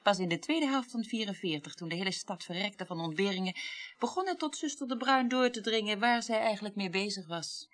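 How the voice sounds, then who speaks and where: An actress playing a weary, well-read middle-aged woman in a radio play, recounting the past deliberately and articulately. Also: mid-range voice, lively intonation, a bit bright, close to an everyday voice. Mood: grave. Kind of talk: 1980s radio play acting.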